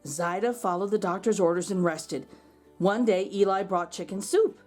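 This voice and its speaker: A woman reading a children's storybook aloud, expressively and dramatically, with drawn-out words. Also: medium pitch, a little mellow, faint music behind. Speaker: children's read-aloud host. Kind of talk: expressive storybook narration for kids.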